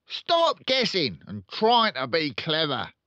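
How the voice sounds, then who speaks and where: A man's voice: on the high side, huge pitch swings, slow pace, pushed and bright, no room sound, pitched above the performer's own voice, heavily acted. A man voicing a boastful, bullying, hot-tempered father in a storybook, blustering and pompous. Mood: angry, shouting. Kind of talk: storybook read-aloud character voice.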